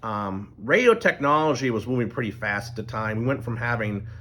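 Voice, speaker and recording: Brief: middle-aged man, mid-pitched voice, loose unscripted monologue with frequent fillers and restarts. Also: expressive, fairly quick, a bit bright, a little hiss or hum. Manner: rambling, loose, full of ums and restarts. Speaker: channel host. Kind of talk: casual explanatory monologue.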